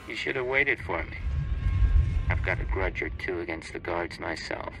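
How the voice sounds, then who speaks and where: A man's voice: low-pitched, animated, normal speed, music in the background, rough recording. A man playing a hard, commanding covert agent in a game cutscene, curt and authoritative.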